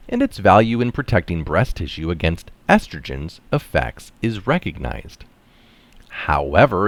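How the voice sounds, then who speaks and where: A middle-aged man reading an article aloud with deliberate, emphatic phrasing and stressed key terms. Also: low voice, dry recording. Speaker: reader of articles. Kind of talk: reading an article aloud with brief casual asides. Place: small room indoors.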